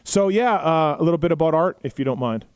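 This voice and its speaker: A man interviewing his guest, talking haltingly with repeated words and frequent short affirmations. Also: fairly high pitch, animated, a little mellow, no echo. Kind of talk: casual interview.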